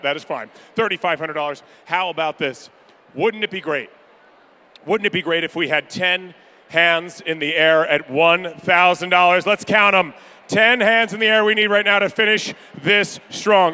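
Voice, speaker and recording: High, bright-voiced male charity auctioneer. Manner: energetic, rapid-fire, upbeat crowd banter. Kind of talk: live fundraising pledge calling. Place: large banquet room at a gala.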